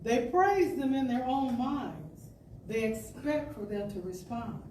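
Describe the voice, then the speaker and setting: A woman giving an expressive reading of an inspirational talk, like a storyteller, with deliberate phrasing and emphatic pauses. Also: a little high. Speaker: storyteller and speaker at a spiritual center. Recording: distant roomy pickup with background noise.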